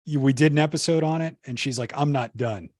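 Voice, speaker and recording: Man, podcast guest, casual storyteller, lots of fillers, mid-pitched, dry close sound. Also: quick pace, a little mellow, dry recording. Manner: rambling, many restarts and fillers.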